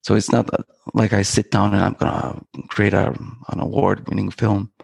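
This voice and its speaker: A man's voice: lowish voice, flat delivery, moderate pace, creaky, a little mellow, breathy edge, dry recording. A middle-aged man from Iceland, a filmmaker guest talking in a reflective, meandering, poetic way with many fillers and restarts. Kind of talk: reflective interview talk.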